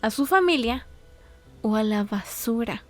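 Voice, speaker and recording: A woman narrating a story in a video essay, telling it in an expressive, earnest and dramatic way. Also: on the high side, measured pace. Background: background music.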